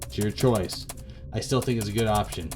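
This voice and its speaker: Low, measured phone advisor acted by a man. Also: a little mellow, faint music behind, natural voice. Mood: measured, reassuring. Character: calm, pragmatic advisor on a phone call.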